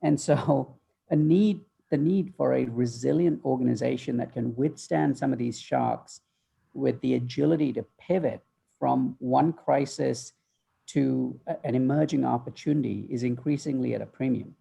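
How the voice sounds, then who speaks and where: Gravelly husky middle-aged man, guest. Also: mid-range voice, moderate pace, mellow. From Malaysia, raised in the UK. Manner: thoughtful, fluent with many ums. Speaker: guest, future-of-work author. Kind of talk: remote interview answer. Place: home office. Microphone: video call.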